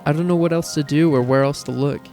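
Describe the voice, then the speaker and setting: A male reader puts on a young woman in a dramatic story reading, raised well above a man's natural register. The voice is fretful, soft and pleading, with fry in it and a stubborn streak. Faint music sits underneath. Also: fairly high pitch, fairly even intonation, fast talker, pitched above the performer's own voice, lightly acted. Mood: tearful, weary. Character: young woman, tearful worried sister, stubborn.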